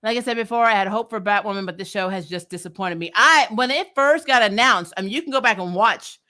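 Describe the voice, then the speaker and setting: A woman of average pitch with a very bright, forceful voice. She hosts a casual recap vlog, answering viewers in an animated, opinionated way. Her delivery is blunt and emphatic, with free swearing. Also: quick pace. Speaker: host of a TV show recap vlog.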